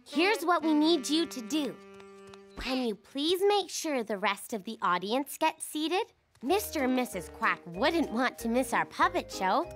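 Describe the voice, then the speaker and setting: A woman voicing a cheerful, bossy young girl bunny in a children's cartoon, explaining things patiently and clearly. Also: sits high, big pitch swings, measured pace, a bit bright, exaggerated voice. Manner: articulate, patient, instructing. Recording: music behind the voice.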